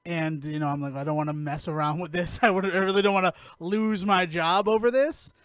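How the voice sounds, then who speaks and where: A man's voice: high voice, fairly even intonation, fairly quick, a bit bright, clean tone, no echo. An American man chatting casually on a podcast, informal and opinionated. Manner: opinionated, informal, swears freely. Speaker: podcast host.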